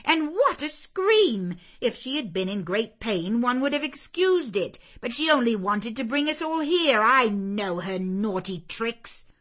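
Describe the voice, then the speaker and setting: A sour maidservant from a storybook, scolding with open disdain in a raised, sharp voice, as a woman reader puts her on. She drags out her scornful words. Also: fairly high pitch, very animated, a little slow, few pauses, voice pushed up, exaggerated voice. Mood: disgusted. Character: scornful, disgusted female servant.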